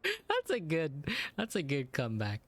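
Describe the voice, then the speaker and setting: A woman's voice: deep voice, wildly swooping pitch, a little slow, dry recording. A woman giving casual, informal reaction commentary as she plays a game, thinking aloud. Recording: game music behind voice. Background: game soundtrack.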